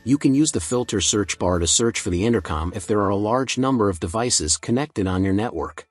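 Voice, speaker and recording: Low, slightly husky male tutorial narrator. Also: expressive, a little fast, faint music behind. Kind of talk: scripted instructional narration.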